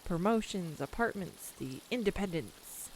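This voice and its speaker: A woman's voice: lowish voice, lively intonation, a little mellow, slight background noise, poor audio. A young woman acting a tender, nervous childhood friend come home, in an intimate, hesitant audio roleplay monologue. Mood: wistful, reflective. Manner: hesitant, reflective, confiding, with pauses. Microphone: close USB desktop microphone.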